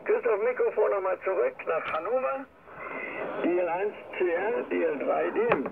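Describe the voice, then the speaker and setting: A man's voice: sits high, animated, moderate pace, creaky. An older man from Germany chatting casually as an amateur radio operator over shortwave radio, often tagging his sentences with little questions. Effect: shortwave single-sideband radio. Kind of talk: casual ham radio chat. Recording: noisy narrowband shortwave radio reception. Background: radio static.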